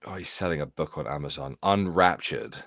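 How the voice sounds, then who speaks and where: A man co-hosting a casual chat, blunt and quick to exasperated outbursts. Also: rumbling low, animated, vocal fry, a bit bright, dry recording, clean studio sound. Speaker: podcast co-host.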